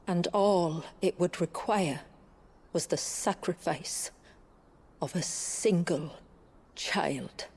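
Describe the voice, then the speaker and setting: An actress playing a grave village guide who keeps her people's history, speaking in a measured, solemn storytelling way in a fantasy game. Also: fairly low pitch, darkish tone, breathy edge, exaggerated voice. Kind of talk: dramatic fantasy game dialogue. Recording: music under the voice.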